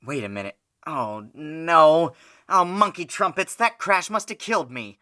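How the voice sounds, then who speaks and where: A man's voice: on the high side, lively intonation, unhurried, pushed and bright, dry recording, clean studio sound. A man playing a naive, folksy, easily startled motorist in an old-time radio commercial, with an exclamatory gee-whiz manner. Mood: bewildered.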